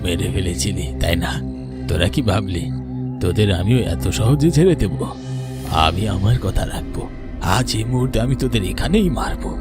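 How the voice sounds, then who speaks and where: A man's voice: lowish voice, expressive, normal speed, loud music behind the voice, close to an everyday voice. A man voicing the vengeful ghost of a murdered village teacher, menacing and bitter in a cartoon. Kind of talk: cartoon voice acting.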